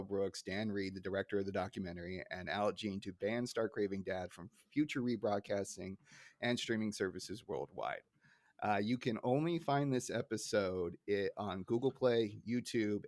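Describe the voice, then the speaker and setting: A man's voice: lowish voice, no room sound, studio quality. A man hosting a casual podcast discussion, talking informally and moving the conversation along. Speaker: podcast host.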